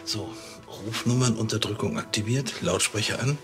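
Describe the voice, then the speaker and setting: A man's voice: fairly low pitch, moderate pace, music in the background, natural voice. A man playing a smug, pedantic middle-aged policeman in a radio play. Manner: pompous, smug. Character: self-satisfied, pedantic middle-aged policeman, fussy gourmet.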